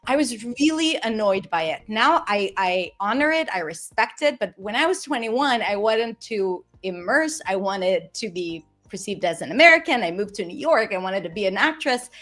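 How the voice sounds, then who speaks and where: A woman's voice: mid-range voice, moderate pace, few pauses, soft background music. Israeli-accented woman telling her story. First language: Hebrew. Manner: enthusiastic, animated storytelling with restarts. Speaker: community founder, guest. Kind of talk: casual interview talk.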